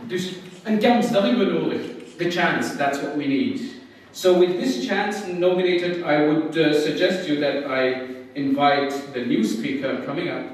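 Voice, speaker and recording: A man's voice: on the high side, normal speed, recorded from a distance. Man improvising in an echoey hall. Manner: improvising, switching between languages. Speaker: event moderator. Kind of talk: impromptu introduction.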